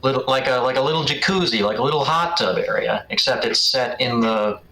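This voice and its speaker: A man's voice: medium pitch, fairly quick, sharp tone, reverberant, slight background noise, low-quality recording. A man running a tabletop game as game master, improvising narration in a conversational, searching way.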